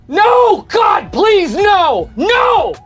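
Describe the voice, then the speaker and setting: A man's voice: very high-pitched, big pitch swings, extremely slow, fairly bright tone, shouting, soft background music, full character voice. A man playing a desperate figure, crying out in melodramatic horror in an inserted clip. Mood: anguished, pleading.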